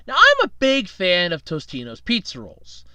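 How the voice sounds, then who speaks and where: A man's voice: high voice, wildly swooping pitch, normal speed, sharp tone, clear, resonant tone, no echo. A young man hosting a casual solo podcast, rambling and jokey while fighting a cold and tired from night shifts. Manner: rambling, chatty, self-deprecating, jokey. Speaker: podcast host.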